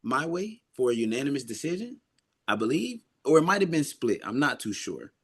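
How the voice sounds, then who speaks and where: Guy amateur boxer doing a casual interview, earnest, puts stress on stuff, mid pitch voice. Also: sing-song, a little fast, a bit bright, slightly rough.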